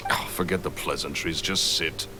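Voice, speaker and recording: A gruff, impatient rich ex-magician waves off pleasantries in a clipped, dismissive way, deep and low. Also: male voice, steady tone, moderate pace, background noise. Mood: irritated. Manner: curt, dismissive. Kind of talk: video game voice acting.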